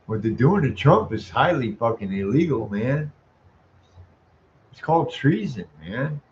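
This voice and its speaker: A man ranting in a profane monologue, ending sentences with "man," chuckling, and stalling on drawn-out "uh" sounds. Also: medium pitch, very animated, lo-fi sound, slight background noise. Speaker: vlogger.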